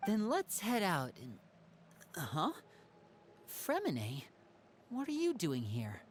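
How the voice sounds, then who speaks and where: A man playing a confident, perceptive young older brother in expressive video game dialogue. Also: high voice, takes it slowly, slight background noise, full character voice. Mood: friendly. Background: music.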